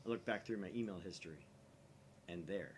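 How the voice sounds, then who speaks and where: A man voicing a grandiose young mad scientist in a visual novel, declaiming theatrically with pompous, dramatic pauses. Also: low-pitched, slight background noise, pitched below the performer's own voice, close to an everyday voice. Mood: triumphant.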